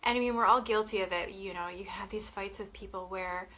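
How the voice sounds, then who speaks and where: A woman's voice: mid-range voice, fairly even intonation, fairly quick, pushed and bright. Sniffly, chatty young woman. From Canada. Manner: chatty, swearing, frequent "like" and "you know". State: sniffly from a head cold. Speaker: wellness vlogger. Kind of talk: casual talk to camera.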